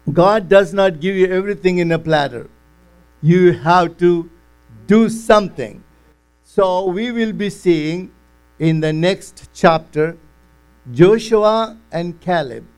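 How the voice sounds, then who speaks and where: A man preaching a sermon, teaching slowly and deliberately and acting out quotes. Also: high voice, a little mellow, clean tone.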